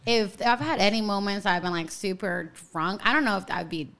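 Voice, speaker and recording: An actress guest on a podcast who chats casually in a sweet, childlike voice, with plenty of fillers and giggles. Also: female voice, medium pitch, a little fast, gravelly, fairly bright tone. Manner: giggly, chatty, lots of fillers.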